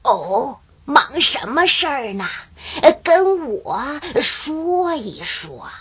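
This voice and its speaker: A woman voicing a sly, greedy male tiger in a children's story, with a husky voice and exaggerated, drawn-out delivery. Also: high-pitched, big pitch swings, heavily acted. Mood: wheedling. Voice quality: husky, rough. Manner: slow, drawn-out, exaggerated wheedling. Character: sly greedy hungry male tiger, wheedling then menacing. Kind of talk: children's storybook character acting.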